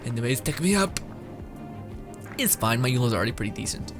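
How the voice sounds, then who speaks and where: A man's voice: medium pitch, expressive, fairly quick. A young man giving casual gameplay commentary as a streamer, rambling, interrupting himself and swearing casually. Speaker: game streamer. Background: game music.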